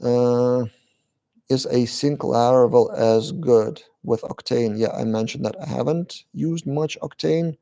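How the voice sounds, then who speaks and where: Gravelly-voiced man answering live questions. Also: medium pitch, mellow. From Lithuania. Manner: reads questions aloud and answers briefly, many "uh" pauses. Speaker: channel host answering live chat. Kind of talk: casual live-stream Q&A.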